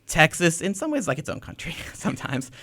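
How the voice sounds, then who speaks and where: Fast-talking joking male podcast host. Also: a little high, very animated, sharp tone. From Boston area. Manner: rambling, joking, opinionated, with many "like"s. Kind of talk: casual interview chat.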